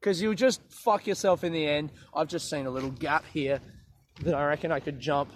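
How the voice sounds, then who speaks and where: A comedian rambling through a sweary, self-mocking podcast monologue while walking outdoors. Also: male voice, fairly high pitch, steady tone, moderate pace, a little hiss or hum.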